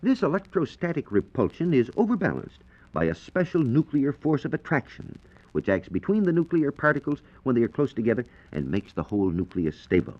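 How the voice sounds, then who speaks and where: A man's voice: medium pitch, very animated, fairly quick, darkish tone. A man with an American accent giving a formal, explanatory scripted science lecture as a 1940s radio reporter. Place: radio studio. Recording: dull, lo-fi 1940s radio recording.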